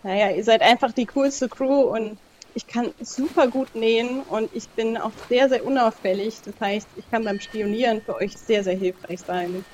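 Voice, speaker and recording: A woman's voice: a little high, normal speed, darkish tone, slight background noise, rough recording. A young adult woman talking casually as a guest player in a role-playing game. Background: music.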